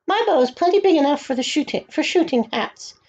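A woman voicing a proud, bratty little boy duke with a high, whiny, mean sneer. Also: lively intonation, a little fast, darkish tone. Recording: dull lo-fi home recording.

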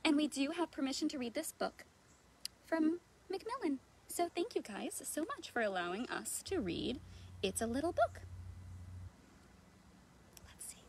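Animated, high-voiced woman at children's storytime. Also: a little slow, pauses often, slight background noise. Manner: theatrical, exaggerated gasps and playful questions. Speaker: children's librarian, storytime host. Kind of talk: children's storytime read-aloud. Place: outdoors in a leafy backyard.

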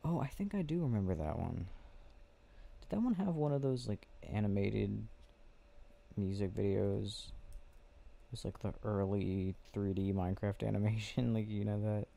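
Young male ASMR boyfriend, intimate and casual, mellow with a gravelly fry, faint noise and clicking behind. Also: lowish voice. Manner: intimate, unhurried, murmuring to a listener close by. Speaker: ASMR roleplay performer. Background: clicking and game ambience.

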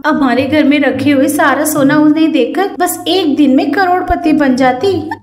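A woman's voice: sits high, normal speed, noisy background, voice pushed up, natural voice. A woman voicing a greedy, scheming young daughter-in-law in a cartoon story, gushing and exclamatory. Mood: whiny longing. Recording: roomy echo, music underneath.

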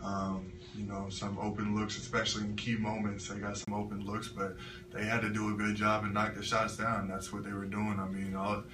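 A young man, a college basketball player, answering press questions after a loss with measured, halting answers full of fillers. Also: on the low side, completely flat delivery. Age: young adult. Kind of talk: postgame press conference answers. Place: press room with sponsor backdrop. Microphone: table mic, recorded by a camera from the floor. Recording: echoey room from far off, noisy.

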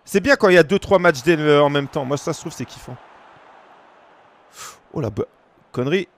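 A man in his thirties from France, streaming a football game and chatting casually with viewers, breaking into shouts at big moments. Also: on the high side, moderate pace, slight background noise. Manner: excitable, shouts at goals, chats casually with viewers. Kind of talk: live gaming commentary to chat. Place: small room at home.

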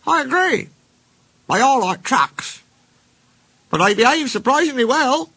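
A man's voice: extremely high pitch, expressive, unhurried, sharp tone, slightly rough, dry recording, pitched above the performer's own voice, exaggerated voice. A man voicing a small, cheeky green steam engine in a children's storybook, friendly and smug with a teasing manner. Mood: agreeable.

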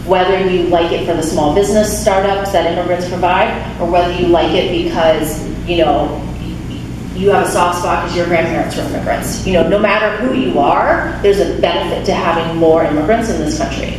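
A woman's voice: lowish voice, fairly even intonation, brisk. A woman in her thirties giving a casual talk at a lectern, with frequent restarts and fillers. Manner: chatty, many restarts and fillers. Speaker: guest speaker from a refugee resettlement nonprofit. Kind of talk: informal talk with audience questions. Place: small church chapel, speaking at a lectern. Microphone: handheld mic, recorded by a far camera. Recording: echoey chapel, distant camera, room noise.

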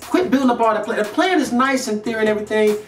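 A man's voice: high-pitched, very fast. A man in his thirties with an American accent gives a casual motivational talk to camera in an emphatic, slangy way. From North Carolina, United States. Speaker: host of a motivational video series. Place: indoor studio with plain grey backdrop. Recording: roomy echo, distant mic, music behind.

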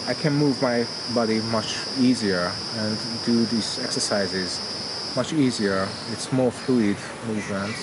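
Hesitant Hungarian-accented man, loud insects. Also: on the low side, unhurried. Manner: hesitant, many filler sounds and restarts. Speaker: interviewee, yoga student. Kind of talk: testimonial interview. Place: outdoors in a garden among plants. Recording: loud outdoor insect noise. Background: loud insects and crickets.

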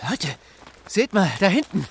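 Man acting a highish-voiced practical survivor. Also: very animated, measured pace, creaky, a little hiss or hum, exaggerated voice. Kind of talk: German audio drama acting.

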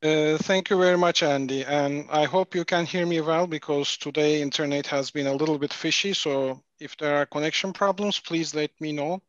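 Man from Turkey, executive presenter on a video call, measured and formal, muffled dry audio. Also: on the high side, steady tone, fairly bright tone, no room sound. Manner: measured, formal, with frequent "uh" pauses. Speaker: pharmaceutical executive, webinar speaker.

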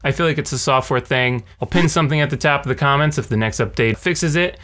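A man in his thirties talking casually to camera as a tech video host, with a sardonic, animated ranting manner. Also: medium pitch, fast talker. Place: small cluttered home room. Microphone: large condenser mic on a stand beside him.

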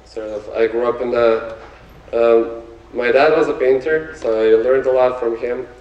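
A man's voice: mid-range voice, measured pace, distant mic, dull audio. Lithuanian-accented man, echoey theater. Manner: relaxed, searching for words with many fillers. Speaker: guest artist. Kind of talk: stage talk with slides. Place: theater stage before an audience. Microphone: handheld mic, recorded from afar.

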